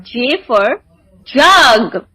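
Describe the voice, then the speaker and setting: A woman narrating a children's alphabet lesson in a deliberate sing-song manner, enunciating each word. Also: high-pitched, sing-song, pushed and bright, slightly rough.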